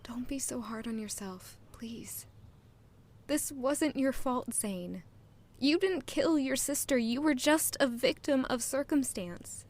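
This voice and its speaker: An actress playing a caring, sociable young maid in an audio drama, speaking softly and earnestly in dialogue and reflective narration. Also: female voice, high-pitched, takes it slowly. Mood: tender, comforting. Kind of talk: audio drama acting and first-person narration.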